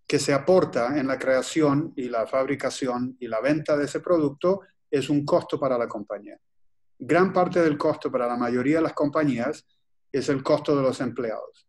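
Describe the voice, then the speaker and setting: A man from Chile giving a webinar presentation over a video call, speaking in a halting, deliberate way with frequent pauses and restarts. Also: medium pitch, darkish tone. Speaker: guest presenter.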